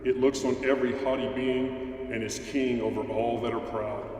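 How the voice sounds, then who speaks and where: A man's voice: medium pitch, fairly even intonation, normal speed, a little mellow, close to an everyday voice. A stern, almighty God, acted by a man in an amateur Bible drama, solemn and commanding. The voice rings through a very echoey hall, sounding distant, with faint noise underneath. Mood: majestic, challenging. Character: God, stern all-powerful male deity rebuking mortals. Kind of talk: amateur church dramatic reading of scripture.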